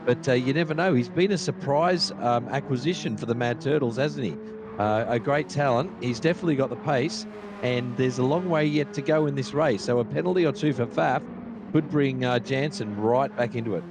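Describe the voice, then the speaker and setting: A man's voice: mid-range voice, brisk. A man with a South African accent, co-commentating a sim race live, chatty and analytical, often restarting his sentences. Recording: steady background noise.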